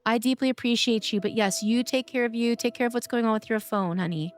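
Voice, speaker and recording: A woman livestreaming a game, chatting playfully with her viewers, her voice a bit hoarse from days of streaming. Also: a little high, fast. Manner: playful, chatty, greeting chat by name. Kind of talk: casual game-stream chat.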